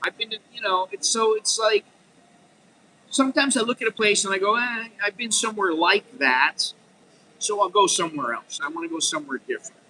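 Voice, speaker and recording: A man's voice: sits high, a little fast, sharp tone, a little hiss or hum, poor audio. A middle-aged American man talking frankly in a rambling, unscripted monologue, full of restarts and "you know.". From United States. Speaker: vlogger.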